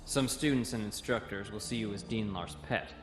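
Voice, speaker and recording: A man's voice: lowish voice, normal speed, natural voice. An actor playing a wary young male cadet who explains things in an audio comic drama. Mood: wry, explaining. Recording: roomy echo, music behind.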